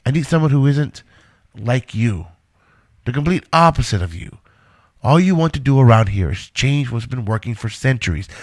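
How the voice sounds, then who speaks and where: A man's voice: medium pitch, no room sound, studio quality, natural voice. A man playing a reluctant inventor in an audio drama, earnestly protesting for the work he is devoted to. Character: Einstein, a reluctant, indignant male inventor devoted to his work.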